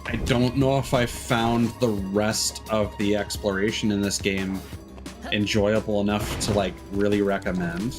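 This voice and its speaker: Male game streamer, lower register, candid and loose, casual swearing, faint music underneath. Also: steady tone, a little slow. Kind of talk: casual live gameplay commentary.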